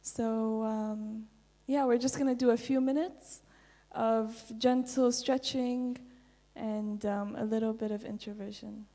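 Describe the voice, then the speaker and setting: A young woman from Kuwait speaks softly and unhurriedly, with many fillers, first in a conference interview and then guiding a gentle stretch. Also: fairly high pitch, fairly even intonation, a little slow. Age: young adult. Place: large conference hall. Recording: conference hall with long reverb.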